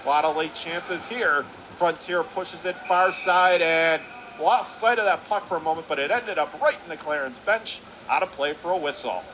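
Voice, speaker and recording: High-voiced man calling hockey. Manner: rapid excited calling that rises sharply on goals. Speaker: play-by-play announcer. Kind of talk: radio hockey play-by-play. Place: arena broadcast position. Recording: noisy arena broadcast.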